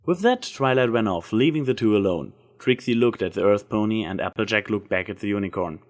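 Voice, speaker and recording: A man's voice: lowish voice, lively intonation, brisk. A man reading a scripted story aloud as its narrator.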